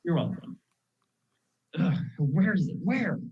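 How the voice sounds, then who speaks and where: Crazed, pushy young actor type, played by a teen for a stage comedy; animated melody, muffled sound. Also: medium pitch, slow. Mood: agitated. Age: teenager. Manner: theatrical, excitable, pushy. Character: manic, unpredictable aspiring actor posing as crazed gunman. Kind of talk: school one-act comedy stage acting. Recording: muffled, dull school play recording.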